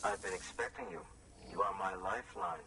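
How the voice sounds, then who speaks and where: An actor playing a wary, courteous survivalist recluse in a video game, speaking in a measured, formal manner. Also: male voice, deep voice, fairly even intonation, far from the mic, heavy background noise, natural voice. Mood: grateful. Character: wary male prepper recluse, courteous but mistrustful. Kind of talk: video game cutscene acting.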